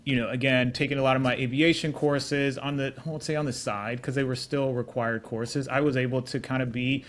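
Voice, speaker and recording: Middle aged guy on a podcast, medium pitch, easygoing, rambles a bit telling his story. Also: steady tone, a little fast. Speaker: interview guest, tech executive. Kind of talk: casual conversational storytelling.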